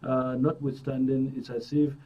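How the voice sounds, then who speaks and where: A man hosting a current affairs interview, measured and formal, pausing as he frames questions. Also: medium pitch, level tone. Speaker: programme host. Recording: dull, slightly distant studio sound.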